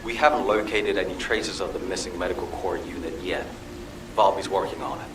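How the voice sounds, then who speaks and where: An actor playing an earnest, caring and dutiful military medical corps commander in a video game. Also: male voice, low-pitched, fairly quick, noisy background, natural voice. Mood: reporting. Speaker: field officer. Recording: roomy, distant, music underneath.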